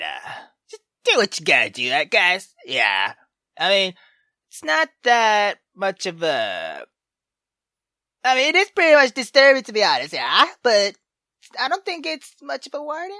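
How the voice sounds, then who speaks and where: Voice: mid-range voice, sharp tone, no echo, studio quality. A game player giving casual let's play commentary, hesitant and rambling, with swearing and music behind the voice. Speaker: gaming video host.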